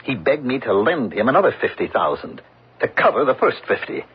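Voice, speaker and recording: A man playing an impatient, cold-blooded, domineering middle-aged businessman husband in a radio drama, with a rough, husky voice. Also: mid-range voice, big pitch swings, brisk. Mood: scornful, impatient. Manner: domineering, dismissive, forceful phrasing. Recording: dull lo-fi radio broadcast recording.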